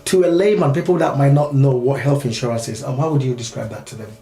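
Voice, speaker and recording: A man's voice: mid-range voice, fast talker, mellow. Um-heavy male talk show host, echoey. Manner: friendly, with frequent ums. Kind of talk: hosting a live phone-in talk show.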